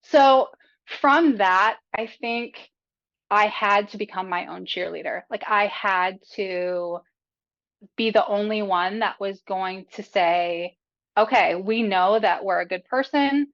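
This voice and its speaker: A woman's voice: mid-range voice, slow pace, fairly bright tone. A young adult woman speaking as a podcast guest in a candid, casual way, full of fillers and frank about her life. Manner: chatty, filler-heavy, frank and profane. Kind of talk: candid casual interview talk. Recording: dull lo-fi audio.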